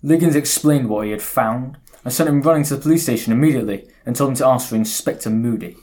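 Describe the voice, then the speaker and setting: A man's voice: medium pitch, fast, darkish tone, lightly acted. A man playing a stern, formal railway station boss in an audio drama. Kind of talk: community audio drama acting. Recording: noticeable background ambience noise.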